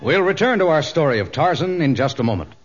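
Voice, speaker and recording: A dramatic storyteller narrates a jungle adventure radio serial. His formal delivery swings widely in pitch, and the old recording is dull, with music playing behind him. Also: sing-song, fast talker. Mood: dramatic, measured.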